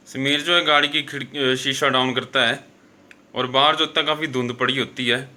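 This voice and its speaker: Bright, forceful male horror storyteller. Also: medium pitch. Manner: conversational, repetitive filler-laden phrasing with self-corrections. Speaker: host and storyteller of a horror show. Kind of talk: informal horror storytelling narration.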